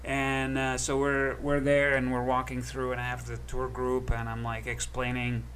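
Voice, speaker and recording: A man from Egypt, a guest comedian, telling a story on a casual podcast. He speaks at an ordinary pitch and in an easygoing way, pausing on fillers. A faint background noise runs under his voice. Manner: relaxed, anecdotal, filler-heavy.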